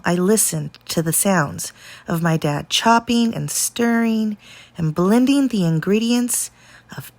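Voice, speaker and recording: A woman with a fairly low voice reads a children's storybook aloud. Her delivery is expressive and deliberate, leaning on dramatic pauses. There is some creak in her tone, and the recording is dry and close. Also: no room sound. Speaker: teacher reading a storybook aloud.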